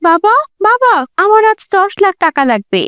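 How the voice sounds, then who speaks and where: A woman's voice: very high voice, very animated, moderate pace, a bit bright, heavily acted. A woman voicing an arrogant, spoiled young rich sister in a melodramatic cartoon story, scornful, scolding and insistent. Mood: haughty demanding.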